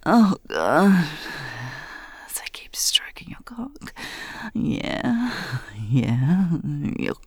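A young adult woman in a close-miked, whispered adult audio roleplay, teasing, with soft laughs. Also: mid-range voice, sing-song, extremely slow, slightly rough, dry recording. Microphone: close mic.

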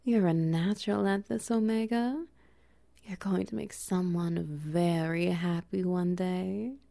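A woman voicing a dominant, doting adult male lover in an intimate audio roleplay, speaking in breathy whispers with soft laughs and steady praise. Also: mid-range voice.

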